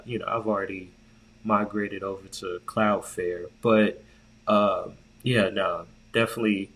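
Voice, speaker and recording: A man co-hosting a podcast in casual chat, slangy and profane, telling things in an animated way and repeating words for emphasis. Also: lowish voice, rough recording. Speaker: podcast co-host.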